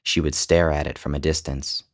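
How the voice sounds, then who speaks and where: Super deep male narrator reading a short story, measured, not much pitch movement. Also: flat delivery. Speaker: author and narrator.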